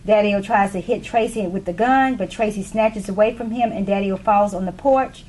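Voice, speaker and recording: A woman's voice: mid-range voice, moderate pace, darkish tone, rough recording. A woman narrating a play, reading the scripted stage directions aloud. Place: live readers theatre room. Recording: faint background noise.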